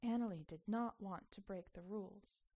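A woman reading a short story aloud as an expressive, emphatic narrator with a sense of childlike wonder. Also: medium pitch, normal speed.

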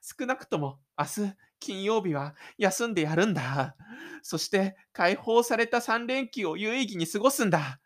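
Man acting as an excited, breathless runaway schoolboy. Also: sits high, normal speed, voice pushed up. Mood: elated, defiant. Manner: breathless, exclaiming inner monologue. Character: shy, stubborn high school boy, excitedly running away from school.

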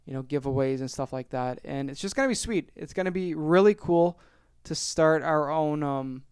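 A young Canadian man hosting his own podcast, rambling casually, repeating his phrases and filling pauses. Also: on the high side, a little mellow, clean tone, no room sound, studio quality. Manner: chatty, rambling, repeats phrases, many fillers. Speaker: podcast host.

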